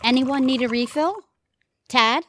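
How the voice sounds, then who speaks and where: A woman's voice: high voice, a little slow, fairly bright tone. A woman playing an exasperated middle-aged wife and mother in an audio comedy, with faint noise behind. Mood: glum. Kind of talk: audio comedy drama acting.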